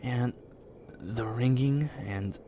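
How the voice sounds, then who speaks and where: A man playing a mild-mannered injured young man in an amateur audio drama, halting and subdued. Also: medium pitch, warm tone, a little hiss or hum. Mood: weary, reassuring.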